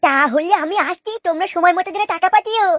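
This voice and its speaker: Comic cartoon kid: female actor playing a money-grubbing boy, high and dry-sounding, pert. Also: fast talker, no room sound. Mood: haggling. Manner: pert, haggling. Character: cheeky, money-minded young boy hired as impostor.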